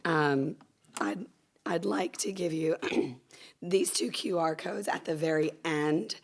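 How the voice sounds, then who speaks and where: A woman giving a conference talk while losing her voice, hoarse and gravelly, with many fillers and restarts, speaking into a handheld microphone. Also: slow. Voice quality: gravelly, low. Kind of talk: conference presentation. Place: conference room with audience.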